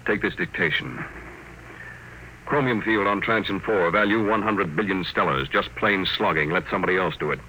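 Brusque male tycoon: low, hard-edged, commanding, clipped phrases, vintage radio drama. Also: moderate pace, bright, forceful tone, low-quality recording. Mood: curt, commanding. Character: brusque, dynamic self-made space tycoon. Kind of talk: old-time radio drama acting. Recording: vintage radio broadcast, faint noise.

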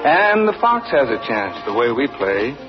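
An actor playing a young farm son who narrates in a homespun, folksy way in a 1940s radio play. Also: male voice, medium pitch, sing-song, normal speed, music in the background, lightly acted. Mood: wistful. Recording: dull old radio broadcast.

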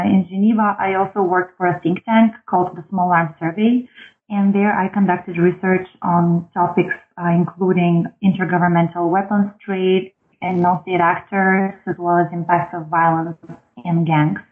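A woman in her thirties from Bulgaria, answering interview questions about her background in a measured way with frequent ums. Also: on the low side, flat delivery. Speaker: guest author. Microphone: phone line. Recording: tinny phone line.

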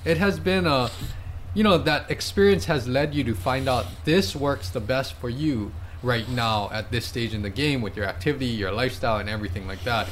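Calm explanatory male coach. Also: mid-range voice, normal speed, slight background noise. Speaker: fitness and nutrition coach. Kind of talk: casual conversational interview.

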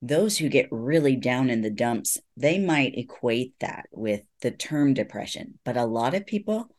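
A middle-aged man, a psychologist guest, explaining things in an earnest, reassuring, measured way in a conversational interview. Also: medium pitch, animated.

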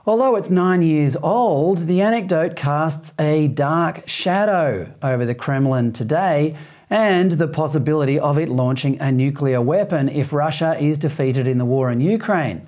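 A man's voice: fairly high pitch, unhurried, darkish tone. A man with an Australian accent hosting a talk show, chatting with a guest and then reading news commentary. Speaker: host.

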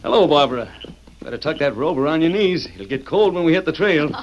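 Animated, earnest stagecoach driver played by an actor. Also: male voice, a little high, fairly quick, lo-fi sound, natural voice. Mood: hearty. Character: eager, grateful young stagecoach driver gone straight. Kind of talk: old-time radio western acting. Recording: old radio recording with background noise.

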